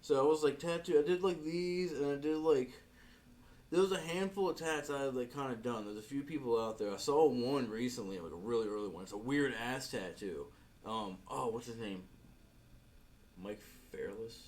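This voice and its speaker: A man of middle pitch talks as a podcast guest into a close mic. He tells stories in a laid-back, rambling way, full of restarts and casual swearing. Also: moderate pace, darkish tone. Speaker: podcast guest, tattoo artist. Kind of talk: casual chat. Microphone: podcast microphone.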